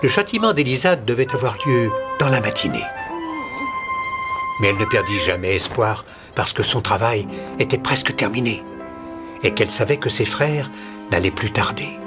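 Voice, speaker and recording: A man's voice: medium pitch, sing-song, normal speed. A man narrating a fairy tale as a storyteller, in a scripted reading. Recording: music behind the narration.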